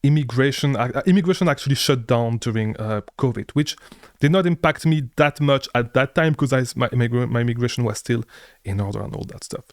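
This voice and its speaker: A man's voice: medium pitch, a little fast, no room sound. A young man from Belgium talking casually to camera from his family home, often restarting and repeating words as he tells a story. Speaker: online business creator. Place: family house indoors.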